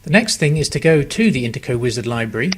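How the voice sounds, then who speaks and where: A man narrating a scripted software tutorial in a measured, step-by-step instructional manner. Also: mid-range voice, sing-song, husky.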